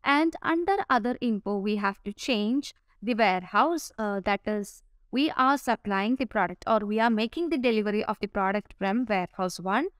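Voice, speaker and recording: A woman narrating a step-by-step software tutorial over a screen recording, pausing often with "uh" fillers. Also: mid-range voice, animated, clean tone, no echo, clean studio sound. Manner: explains step by step with frequent "uh" fillers.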